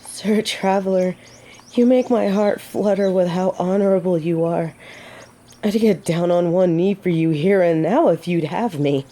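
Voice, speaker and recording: Woman playing a slow-spoken, mellow, gallant lady knight. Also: on the low side. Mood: earnest, admiring. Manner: formal old-fashioned fantasy speech, coy and gently teasing. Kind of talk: romantic roleplay audio drama acting.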